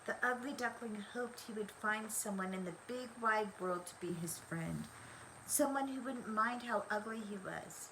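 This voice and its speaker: An older woman reading a children's story aloud in an expressive, deliberate way. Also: mid-range voice, background noise. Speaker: storybook reader. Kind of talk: scripted children's story narration.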